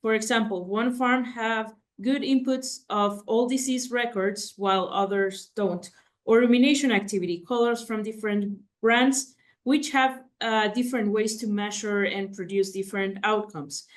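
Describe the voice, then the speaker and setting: A woman's voice: medium pitch, fairly even intonation, normal speed. A woman moderating a webinar, reading out audience questions steadily with brief acknowledgements.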